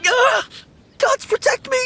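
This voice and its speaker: A man's voice: a little high, sing-song, moderate pace. A man voicing a timid, jumpy young novice undertaker in a video game, speaking politely and nervously. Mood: panicked. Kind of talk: animated video game voice acting.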